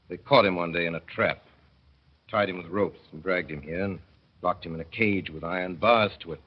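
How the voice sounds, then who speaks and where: A man narrating a 1930s radio drama as a father, in a wry, mournful storytelling manner that slows to dwell on sad images. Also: deep voice, husky. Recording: dull old radio broadcast.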